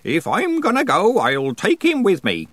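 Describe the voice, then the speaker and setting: Stubborn, cheerful old countryman, played by a male narrator, rustic dialect, high-lifted swooping storybook voice. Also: huge pitch swings, a little slow, fairly bright tone, breathy edge, no room sound, exaggerated voice. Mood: cheerful defiance. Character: cheerful, stubborn old bedridden cottager man. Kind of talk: audiobook character dialogue.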